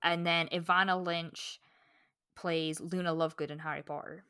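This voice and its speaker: Bright-voiced woman chatting casually, dry recording. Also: lowish voice, steady tone, normal speed, smooth, no echo. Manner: candid, sweary, self-interrupting. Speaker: podcast co-host. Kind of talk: casual book chat.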